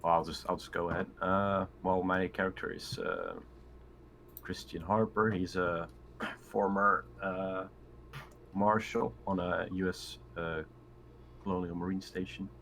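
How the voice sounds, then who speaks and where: A man's voice: sits low, fairly even intonation, measured pace, creaky, a bit bright. An easygoing man chatting casually and agreeably as a player in an online tabletop game. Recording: faint noise on home voice-chat mic.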